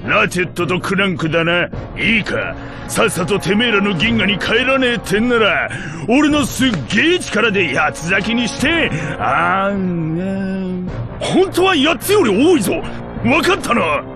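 An actor playing a hulking, boastful, dim-witted alien thug boss in a video game, with rough gangster talk and blustering threats. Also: male voice, sits high, big pitch swings, measured pace, full character voice. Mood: menacing, blustering. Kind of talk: video game cutscene acting. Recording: music behind the voice.

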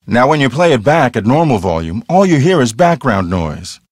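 Video game ghost hunter: cocky, theatrical, earnest, lively pitch swings, dry close sound. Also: male voice, normal speed, husky, no echo. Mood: explaining. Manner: theatrical, self-assured explaining.

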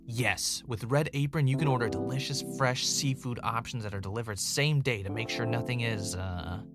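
A male actor plays a middle-aged podcaster, a man obsessed with a mystery and given to dry wit. His medium-pitched voice carries an audio drama, with music running clearly underneath. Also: normal speed, natural voice. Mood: salesy ad read. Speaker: podcast host.

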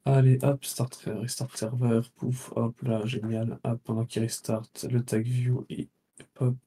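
A man doing casual live commentary on a flight simulator tournament, relaxed and teasing, often trailing off mid-sentence. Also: medium pitch, unhurried.

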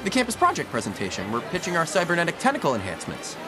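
An earnest, nervy young male superhero played by a man for an audio drama. His voice sits a little high and moves around with lively swings, quick to quip, over a clear music bed. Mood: flustered, eager. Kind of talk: audio drama acting with inner monologue.

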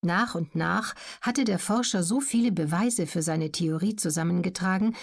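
A woman narrating an educational audiobook in a measured, clearly articulated, scripted reading. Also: lowish voice.

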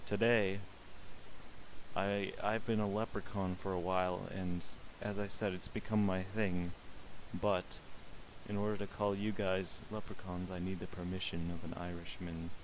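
A man's voice: low voice, level tone, normal speed, slight background noise, poor audio. A young man streaming a social VR game, chatting casually and politely pestering the strangers he meets. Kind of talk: casual gaming commentary.

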